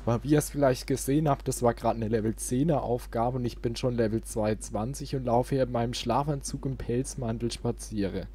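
A man's voice: medium pitch, fairly even intonation, brisk, few pauses, warm tone, a little hiss or hum. A man giving casual gaming commentary as a let's player.

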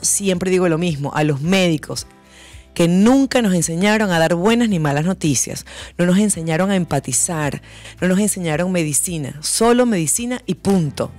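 Lively woman hosting a show. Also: on the low side. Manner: animated, exclaiming, laughing often. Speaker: host. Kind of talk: upbeat news chat. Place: small studio set. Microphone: studio mic on boom, wearing headphones.

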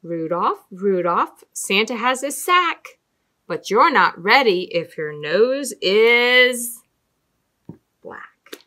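A middle-aged woman telling stories and talking to young children as a storytime host, with an expressive, deliberate sing-song delivery and dramatic emphasis. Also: on the high side. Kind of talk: read-aloud storytelling and talk to young children. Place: small indoor room with fabric backdrop.

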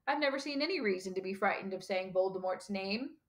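A woman voicing a calm, gentle elderly male professor in an audiobook, with a measured and mildly whimsical manner. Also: mid-range voice, natural voice. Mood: calm, reasonable. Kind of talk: audiobook character dialogue.

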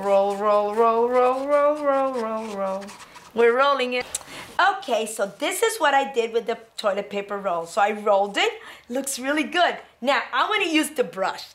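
A middle-aged woman teaching preschoolers in a classroom, talking young children through each step in an encouraging, animated way. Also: on the high side, a little slow, slight background noise. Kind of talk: children's educational demonstration. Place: indoor classroom.

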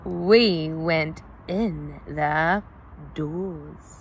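A young woman teacher, reading a picture book aloud to young children in a slow, exaggerated, sing-song way, recorded outdoors on a phone. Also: low-pitched, slight background noise. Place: outdoors under trees.

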